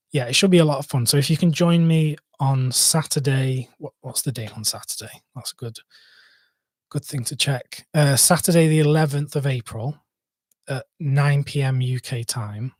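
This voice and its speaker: A young man with a Mancunian accent hosts a casual live stream, rambling through viewer questions with plenty of fillers and false starts. His voice sits at an ordinary mid pitch, and the sound is dry and close with no room echo. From Manchester, England. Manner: rambling, hesitant, many fillers and restarts.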